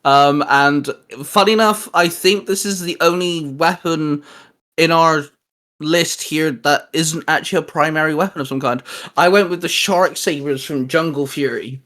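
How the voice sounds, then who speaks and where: Young guy, bit of a high voice, hyped up, swears and laughs a lot. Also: moderate pace. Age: young adult. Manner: excitable, swears casually, laughs often. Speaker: co-host. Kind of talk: casual podcast chat.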